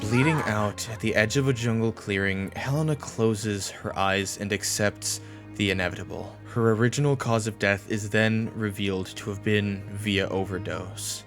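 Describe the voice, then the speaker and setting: A man's voice: lowish voice, fairly even intonation, moderate pace, a bit bright, soft background music. A man narrating a scripted episode recap as a video essayist, with wry asides.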